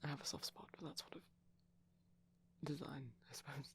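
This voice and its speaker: A man speaking in a soft, breathy ASMR ramble, often slipping into a whisper, gentle, shy and hesitant, close to the microphone. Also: fairly high pitch, steady tone, normal speed, slight background noise. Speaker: ASMR performer.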